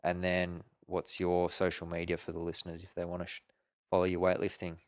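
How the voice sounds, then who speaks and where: A man hosting a podcast, asking easygoing questions and signing off in a relaxed, friendly way. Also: deep voice, a little fast, smooth, no echo, clean studio sound. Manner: easygoing, friendly prompts, filler-heavy. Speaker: podcast host. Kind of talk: casual interview chat.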